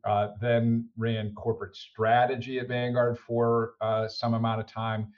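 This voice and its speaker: A man's voice: medium pitch, little pitch movement, measured pace, lo-fi sound. A middle-aged man with an American accent, speaking conversationally and hesitantly as a podcast interview guest. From United States. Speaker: interview guest, senior executive.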